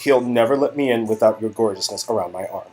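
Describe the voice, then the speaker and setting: A man voicing an excitable, flamboyant young Hollywood socialite best friend in a gushing, dramatic way, read from a story game. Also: on the low side, quick pace, natural voice. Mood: gushing, thrilled. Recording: music in background.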